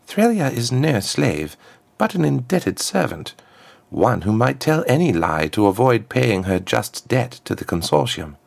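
Man performing a smug storybook villainess, raised contralto pitch, swooping intonation, formal, haughty, silkily menacing. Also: sing-song, unhurried, heavily acted. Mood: dismissive. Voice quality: smooth, lifted contralto. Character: smug, haughty, athletic woman ambassador and villain.

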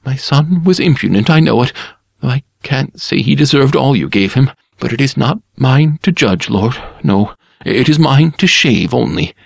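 A man's voice: mid-range voice, expressive, normal speed, creaky, husky, dry recording, studio quality, voice pushed up. A man voicing a fearful, servile barber who mumbles deferential replies to a lord. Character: timid servile old barber, fearful yet cunning.

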